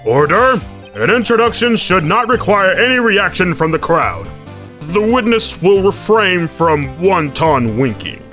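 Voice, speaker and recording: A pompous, fussy old judge, voiced by a man, formal and lively in pitch. He rules grandly but is easily swayed. Music plays faintly behind. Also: unhurried, full character voice. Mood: stern. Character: fussy older male judge. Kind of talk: fan dub game voice acting. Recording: with background music.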